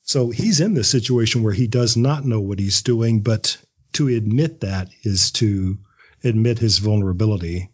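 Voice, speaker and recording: Male interview guest, writer type, husky and reflective, conversational delivery, slightly dull recording. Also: on the low side, normal speed. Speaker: interview guest, audio drama writer and voice actor.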